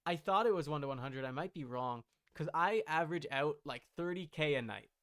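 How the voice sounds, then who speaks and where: A man chatting casually while livestreaming a video game, rambling and thinking out loud in an unsure way. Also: on the high side, fairly quick.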